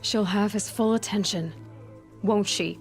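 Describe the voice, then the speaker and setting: A woman's voice: mid-range voice, steady tone, a little slow, loud music behind the voice, natural voice. An actress playing a determined young warrior woman in a video game, terse and direct. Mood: guarded. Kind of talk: cinematic video game acting.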